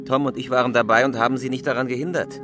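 An actor playing a cool, controlled professional criminal in a German radio crime drama, speaking tersely and matter-of-factly with a commanding manner. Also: male voice, mid-range voice, fairly even intonation, racing, over music, natural voice. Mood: cold, commanding.

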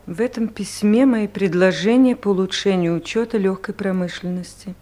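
A woman's voice: medium pitch, normal speed, dark tone, lightly acted. A woman playing an earnest, lovesick office worker in a radio comedy drama, polite and eager. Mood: eager, shy. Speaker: actor in an audio play.

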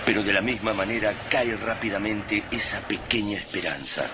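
A male actor as a solemn storyteller narrates a science-fiction radio play. His voice is very low and his delivery grave and deliberate. Loud noise sits behind the voice. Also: close to an everyday voice. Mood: grave, ominous.

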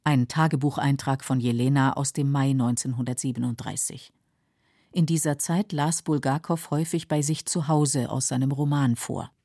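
A narrator reading scripted literary documentary text in a sober, measured manner. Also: medium pitch, fairly even intonation, dark tone, no echo, studio quality.

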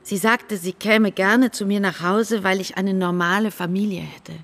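An actress playing a reserved middle-aged small-town shopkeeper in a German radio drama, measured and reticent as she recollects. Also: mid-range voice, steady tone, a bit bright, natural voice. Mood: recollecting.

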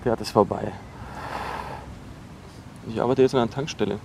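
Actor doing awkward young ex guy, defensive, halting, repeats himself, natural radio drama, bit of hum behind. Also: mid-range voice, fairly even intonation, creaky, warm tone, natural voice. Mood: awkwardly confiding. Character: defensive, awkward young dropout, oversharing ex-boyfriend. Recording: noisy location recording with ambient hum.

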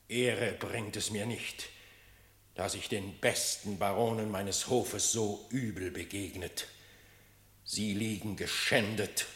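An actor playing a stern, aging lion king in a German radio play, speaking slowly and solemnly. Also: lowish voice, sharp tone, full character voice. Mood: remorseful. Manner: slow, solemn, brooding. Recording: echoey studio room.

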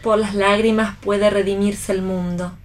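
A woman's voice: mid-range voice, little pitch movement, measured pace, close to an everyday voice. A woman acting a romantic, devoted wife in a radio play, with a formal, poetic way of speaking. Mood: earnest idealism. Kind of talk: staged radio play reading.

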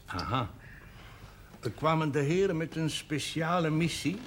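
A man acting a wry, cultured senior cleric in a television period drama, speaking in measured, formal and ironic phrases. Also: a little high, lively intonation. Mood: dryly courteous. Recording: noisy old 1970s television soundtrack.

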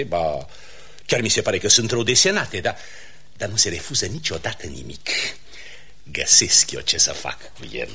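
An actor playing a pompous, condescending, miserly count in a classic comedy for radio theatre. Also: male voice, on the low side, bright, forceful tone, husky, full character voice. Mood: calculating. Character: pompous, miserly count who boasts of his patronage.

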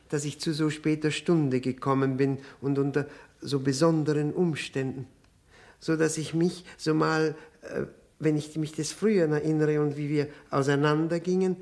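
An actor playing an obsequious, self-abasing widower in a 1960s German radio drama, halting, apologetic and overly polite. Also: male voice, fairly high pitch, a little mellow, natural voice.

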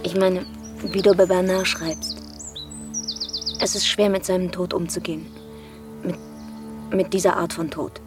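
Radio play mother, played by an actress: lowish female voice, disillusioned, reflective, confiding, music behind her. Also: little pitch movement, a little slow, pauses often, close to an everyday voice. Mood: sad, resigned. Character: grieving, disillusioned mother living as a rural outsider. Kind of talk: German radio drama acting.

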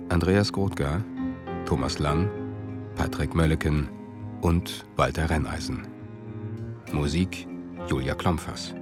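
A man reading formal radio credits in a neutral, deliberate manner. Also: a bass voice. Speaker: announcer.

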